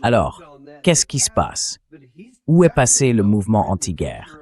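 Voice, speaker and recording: Man, fairly low pitch, AI-read translation, interview host, conversational and questioning. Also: wildly swooping pitch, unhurried, warm tone.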